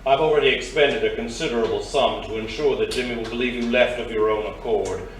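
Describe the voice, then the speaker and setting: An actor playing a suave, menacing crime boss in a noir radio drama, courteous, measured and wordy. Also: male voice, mid-range voice, lightly acted. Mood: calm menace. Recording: roomy, distant, faint background noise.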